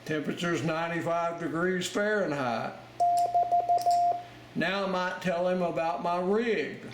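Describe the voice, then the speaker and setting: A man giving an informal ham radio lesson, talking in halting pieces as he explains a demonstration step by step. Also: fairly high pitch. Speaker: amateur radio instructor. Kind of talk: informal instructional narration over a demonstration. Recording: roomy, loud noise, radio clicks and tones.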